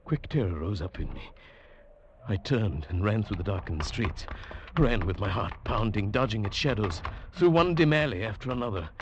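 An actor playing an uneasy traveller who narrates an eerie tale in a dramatic 1940s radio drama style. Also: male voice, fairly low pitch, animated, moderate pace, dull audio, background noise, natural voice. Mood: tense, fearful. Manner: dramatic, hushed swelling to agitated. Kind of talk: 1940s radio drama acting, first-person scripted narration. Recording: old radio broadcast with music.

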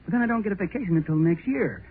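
Eager young American husband, boyish and gushing, slightly high voice, scratchy narrow-band 1930s radio drama. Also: male voice, expressive, very fast. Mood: nervous, rambling. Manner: gushing, slangy, rambling.

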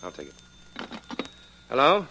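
An actor playing a polite but firm federal investigator in a 1940s radio drama, speaking formally and courteously. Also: male voice, medium pitch, wildly swooping pitch, measured pace, sharp tone, a little hiss or hum, exaggerated voice, rough recording. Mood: businesslike.

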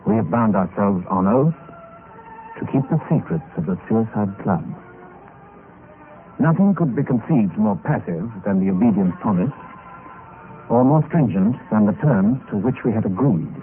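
A man acting a bored, cool gentleman adventurer who recounts his tale in a suave, formal, old-time radio style. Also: on the low side, lively intonation, moderate pace, long pauses, music in the background, natural voice. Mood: grave. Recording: noisy, tinny old radio recording.

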